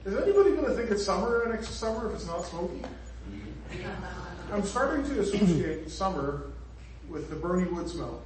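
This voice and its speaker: A man's voice: high-pitched, sing-song, moderate pace, long pauses, noisy background. A man from Northern Ireland with a Northern Irish accent, giving a conversational sermon with jokes and asides to the congregation. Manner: chatty and joking, with asides to the congregation. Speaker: preacher. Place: church hall. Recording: echoey hall, far from the mic.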